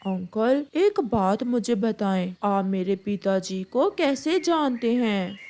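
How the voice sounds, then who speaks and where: A woman's voice: mid-range voice, expressive, slow, a little mellow. Woman voicing a meek, troubled young crow son. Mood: shocked, upset. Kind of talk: Hindi cartoon story acting.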